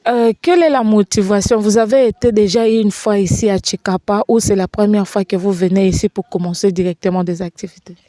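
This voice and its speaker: A woman's voice: medium pitch, fairly even intonation, brisk, hardly any pauses, warm tone, no echo. A woman hosting a TV interview in a studio, asking formal, wordy questions with many fillers. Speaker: TV host. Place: TV studio set.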